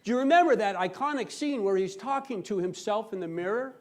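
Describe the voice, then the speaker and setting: High-pitched man giving a theatrical comic speech. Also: animated. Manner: theatrical, mock-serious boasting with dramatic pauses. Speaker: speech contest competitor telling a comic tall tale. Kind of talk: humorous storytelling speech to an audience. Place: live on stage before a laughing audience.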